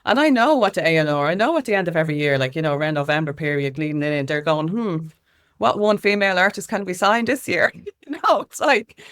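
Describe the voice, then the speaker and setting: A woman's voice: on the low side, brisk. A middle-aged woman with an Irish accent explaining things in an interview, emphatic and repeating phrases for weight. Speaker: interview guest, music consultant and activist.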